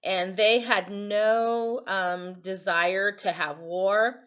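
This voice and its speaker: A woman's voice: medium pitch, fairly even intonation, very slow, a bit bright, clear, resonant tone, dull audio. An American woman giving an informal read-aloud lesson as a teacher, explaining as she goes and often correcting herself, recorded on a computer microphone. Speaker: teacher reading a storybook aloud to students.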